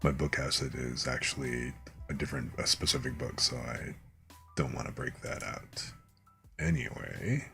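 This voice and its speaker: Very deep-voiced man, relaxed bedtime reader. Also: moderate pace, gravelly, faint music behind. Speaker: streamer and bedtime story reader. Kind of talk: relaxed ASMR talk and storybook narration.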